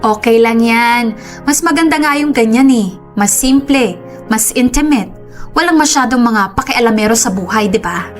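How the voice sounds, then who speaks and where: A woman acting as a cheerful Filipina aunt who is full of support. Her pitch is high and her accent Filipino, in radio drama style with light music behind. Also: normal speed, close to an everyday voice. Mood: celebratory, approving.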